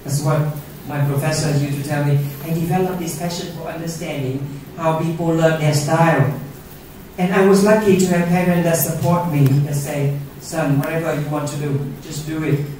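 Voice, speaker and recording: Man, Vietnamese native speaker, middle-aged, earnest conference talk, a bit high-pitched, distant mic, big echoey auditorium. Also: steady tone, moderate pace, a little mellow, a little hiss or hum. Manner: earnest, asks the audience questions, frequent "yeah?" tags. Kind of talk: keynote talk to an audience. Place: university auditorium. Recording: roomy auditorium sound from far off.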